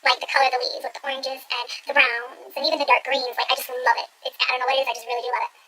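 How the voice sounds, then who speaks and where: A woman's voice: sits high, level tone, rapid-fire, sharp tone, poor audio. A woman talking through a makeup tutorial at home, chatty and gushing as she gives step-by-step instructions. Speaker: beauty vlogger.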